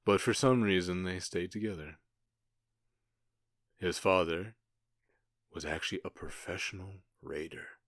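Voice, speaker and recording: A man playing a smug, cruel outlaw gang boss in an ASMR roleplay, taunting with theatrical pauses and mock-polite menace. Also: sits low, dry recording, studio quality. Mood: mocking storytelling.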